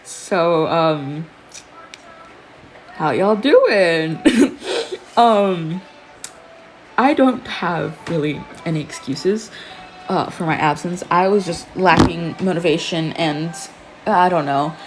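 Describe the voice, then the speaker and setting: A woman's voice: on the low side, expressive, takes it slowly, pauses often, over music, rough recording. A young adult woman reading fan fiction as an amateur narrator. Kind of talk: expressive scripted story narration, casual asides.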